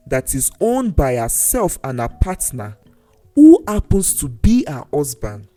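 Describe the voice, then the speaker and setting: Slow, expressive storyteller. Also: mid-range voice. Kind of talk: scripted folktale narration. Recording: voice over faint music. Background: faint background music.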